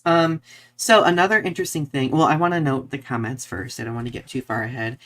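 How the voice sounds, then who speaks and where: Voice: mid-range voice, steady tone, fast talker, fairly bright tone. A podcast co-host chatting through current events on a live show in a conversational, informal way.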